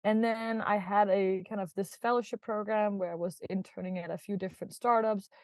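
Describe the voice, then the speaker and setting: Woman guest from Denmark, mid-pitched, talkative and giggly; close, dry mic. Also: fairly even intonation, a little fast, a little mellow, no echo. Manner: chatty, laughs often, many "like" and "kind of" fillers. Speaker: guest, startup founder. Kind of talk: casual podcast interview.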